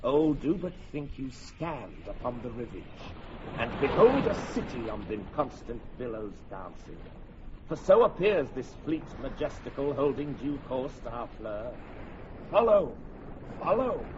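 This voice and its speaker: Sweeping, animated chorus narrator. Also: male voice, medium pitch, unhurried. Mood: grand, evocative. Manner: declamatory, sweeping. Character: grand, evocative chorus narrator of a history play. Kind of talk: Shakespearean radio drama narration. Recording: muffled, noisy old radio recording.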